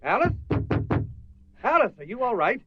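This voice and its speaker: An actor playing a protective, practical middle-aged father, a reserved gentleman, in a radio drama. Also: very high voice, very animated, normal speed, highs cut off, music in the background. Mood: worried.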